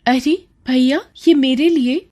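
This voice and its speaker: A woman's voice: high voice, slow, mellow, voice pushed up. A woman voicing a poor, earnest young girl street seller in a cartoon story, with an eager, sweet, childlike manner. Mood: surprised. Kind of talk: dramatised Hindi cartoon storytelling.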